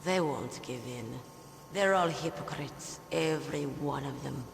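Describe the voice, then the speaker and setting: A woman's voice: low-pitched, a little slow, vocal fry, a little hiss or hum. An actress playing a cold, proud female sniper in a video game, with an aloof, sultry, contemptuous manner. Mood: bitter. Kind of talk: dramatic video game voice acting. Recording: long hall-like reverb. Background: music.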